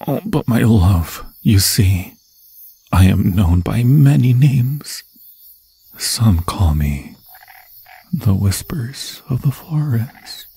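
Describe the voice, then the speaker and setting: A man voicing an obsessed undead forest demon in an ASMR fantasy roleplay, seductive and menacing, with a husky, breathy voice that often drops to a whisper and slow, theatrical, intimate phrasing. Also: fairly low pitch, very animated, warm tone, no echo, exaggerated voice. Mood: ominous, possessive. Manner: slow, theatrical, intimate, crooning endearments in archaic formal phrasing.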